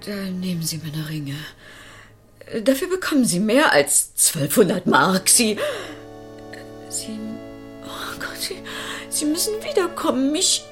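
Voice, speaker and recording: A woman's voice: medium pitch, wildly swooping pitch, slow, fairly bright tone, faint music behind, heavily acted. An actress playing an agitated, stammering middle-aged mother in a German radio drama. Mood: flustered, anxious. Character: flustered wealthy mother facing her estranged grown daughter.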